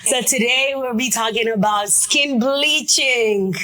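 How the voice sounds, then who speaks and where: A woman hosting a talk show discussion, chatty and direct, with quick asides to her audience. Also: on the high side, pushed and bright.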